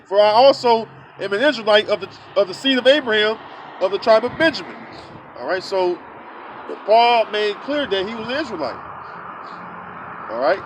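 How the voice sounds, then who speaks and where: A man with a high voice preaches outdoors, reading verses aloud and then breaking them down in blunt, casual street talk full of filler sounds. Faint traffic and the open air hum behind him. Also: rough recording. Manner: many filler sounds and restarts. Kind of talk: scripture teaching.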